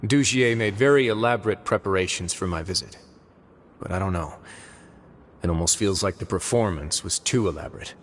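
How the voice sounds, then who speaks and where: A man voicing a composed male duke who speaks in a calm, dry, measured way. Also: sits low, slight background noise. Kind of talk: game voice acting. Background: game music.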